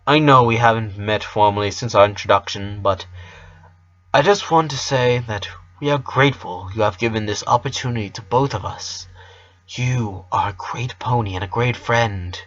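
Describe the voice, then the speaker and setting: A man's voice: fairly low pitch, moderate pace, a bit bright, dry recording, natural voice. A man plays a clawed male creature from a storybook, a kindly and eager ally. He speaks earnestly and encouragingly, recorded dry and slightly dull. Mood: warm, grateful.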